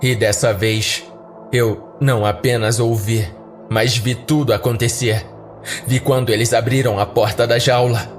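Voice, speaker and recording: Um homem com sotaque brasileiro narrando uma história de terror em primeira pessoa, de modo pausado e dramático. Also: male voice, medium pitch, fairly even intonation, faint music behind. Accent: Brazilian. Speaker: horror podcast narrator. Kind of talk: scripted first-person horror narration.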